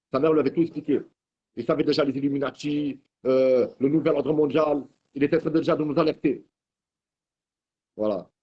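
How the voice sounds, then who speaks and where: Husky man ranting. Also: mid-range voice, a little mellow. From Marseille, France. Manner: rambling, heated, repeats and restarts words. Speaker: online commentator. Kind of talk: ranting video message.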